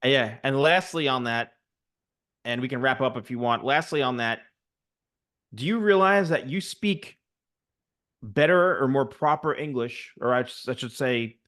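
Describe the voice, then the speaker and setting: A man in his forties, hosting an interview and telling stories in a chatty, animated way. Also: mid-range voice, a little slow, pauses often. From United States. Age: 40s. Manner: chatty, animated storyteller, many fillers. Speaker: host, barber coach. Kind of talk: casual interview chat.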